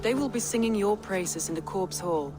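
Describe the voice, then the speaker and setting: A woman's voice: medium pitch, normal speed, music in the background, close to an everyday voice. A woman playing a resolute female Viking jarl in a dramatic video game, speaking in a declamatory way. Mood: proud, warm. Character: proud, commanding female Viking jarl, warm to her warriors.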